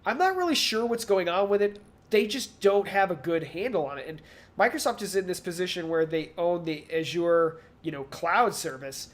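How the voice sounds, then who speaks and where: A man's voice: sits high, lively intonation, normal speed. A man speaks as a podcast co-host in a casual discussion. His delivery is rambling and analytical, as he works through his points aloud and often paraphrases others in mock quotes. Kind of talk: casual video game industry discussion.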